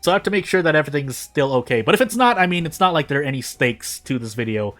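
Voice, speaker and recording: A man's voice: on the high side, expressive, quick pace, fairly bright tone. A man doing casual gaming video narration and commentary in a rambling, self-deprecating way. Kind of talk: casual gameplay commentary and fanfic reading.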